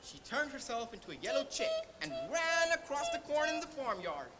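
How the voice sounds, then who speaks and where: A woman's voice: high voice, sing-song, fairly quick, over loud music. A female storyteller narrating a scripted children's tale in a theatrical, recited manner. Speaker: storyteller in a school team. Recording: live stage contest, clear background noise.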